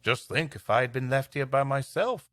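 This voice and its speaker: A man's voice: mid-range voice, moderate pace, fairly bright tone, dry recording, studio quality, faint music behind, close to an everyday voice. A man voicing a lonely, kind young schoolboy in a storybook tale, steadily consoling his friend.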